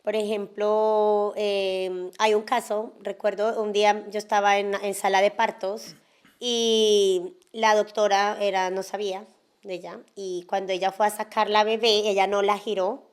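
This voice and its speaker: A Colombian woman from Cali speaks as a podcast guest, her voice sitting at an ordinary middle pitch. She tells her own story frankly and casually, drifting through fillers and small asides. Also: a little slow, clear, resonant tone. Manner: frank, relaxed, many fillers and little asides. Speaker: interview guest.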